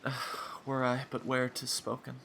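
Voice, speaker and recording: A man's voice: mid-range voice, level tone, moderate pace, vocal fry, pushed and bright. Man acting earnest, grieving young prince, noisy line. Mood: awestruck, sorrowful. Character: grieving, lovestruck young prince, shipwrecked and bewildered. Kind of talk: Shakespearean audio drama. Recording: noisy remote online recording.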